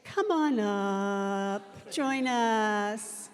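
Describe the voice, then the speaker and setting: A middle-aged woman leading a church service, telling a story in a slow, earnest way into a microphone. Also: mid-range voice. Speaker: worship leader. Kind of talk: reflective talk at a service. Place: church sanctuary. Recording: reverberant hall.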